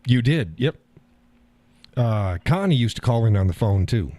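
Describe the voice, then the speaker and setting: A man hosting a live call-in stream, talking in a calm, easygoing way and chuckling often. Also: medium pitch, a little slow, no echo. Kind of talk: casual chat.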